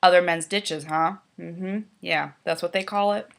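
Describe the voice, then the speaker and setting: A woman's voice: fairly low pitch, steady tone, measured pace, creaky. A woman with a head cold, sniffling as she mocks a children's book in a sarcastic, profane casual commentary. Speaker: YouTuber.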